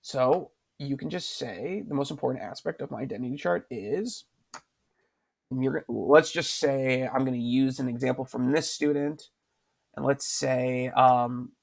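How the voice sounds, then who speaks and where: A male teacher talks through a writing lesson in a calm, middle-pitched voice with a gravelly edge. He speaks patiently into his computer mic and keeps asking "why" to draw answers from his students. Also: unhurried. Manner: patient, repeats questions to prompt students. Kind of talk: screen-recorded writing lesson.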